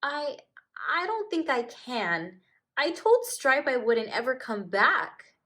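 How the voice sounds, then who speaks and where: A woman's voice: high voice, very animated, slow, pushed and bright, pitched above the performer's own voice, full character voice. A woman voicing a grumpy, stubborn young female animal in a children's storybook, with emphatic delivery. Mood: unsure.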